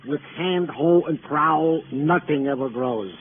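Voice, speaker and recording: Fussy, higher-voiced comic police sergeant. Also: male voice, measured pace, phone-quality sound. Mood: exasperated, grumbling. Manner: pompous officialese mixed with complaining. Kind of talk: 1950s radio comic-relief acting. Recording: thin, noisy old radio broadcast.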